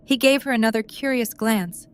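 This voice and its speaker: A woman's voice: on the high side, normal speed, clean tone. A woman narrating a scripted story in the third person for an audio drama. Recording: faint background noise.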